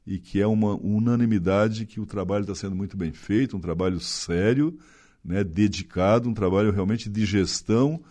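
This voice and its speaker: A middle-aged man with a fairly low voice and a light gaúcho accent. He talks as a city official in an interview, earnest and long-winded, and he keeps adding little tag questions. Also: normal speed, warm tone. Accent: slight gaúcho. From Santa Catarina, Brazil. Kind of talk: conversational interview.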